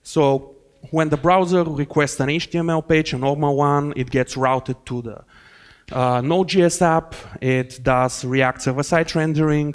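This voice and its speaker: A man with a Bulgarian accent presents a technical talk at a conference. He explains in a loose, rambling way, full of fillers and restarts. His voice sits at a middle pitch. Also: clean tone. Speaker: conference speaker. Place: conference hall.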